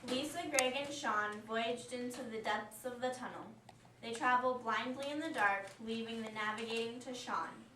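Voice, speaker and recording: A woman's voice: on the high side, normal speed. A woman reading scripted story narration aloud for an amateur puppet show. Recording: echoey room, distant mic, faint noise.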